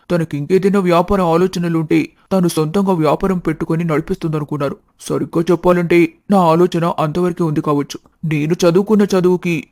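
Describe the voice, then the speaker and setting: A man plays a good-hearted young shopkeeper in a storybook family drama. His voice is on the high side and mellow, with flat intonation, and he talks in a chatty, polite, matter-of-fact way. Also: no room sound, clean studio sound, lightly acted. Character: talkative, good-hearted young snack-shop owner seeking marriage.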